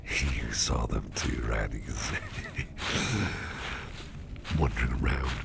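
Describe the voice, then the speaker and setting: Man voicing an extremely deep, gravelly whispering boss. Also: very animated, noisy background, full character voice. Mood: mischievous, amused. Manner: slow, halting, repeating phrases, softly chuckling. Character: mischievous, toothy businessman boss, affectionate and covert. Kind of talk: intimate ASMR roleplay, murmured to the listener.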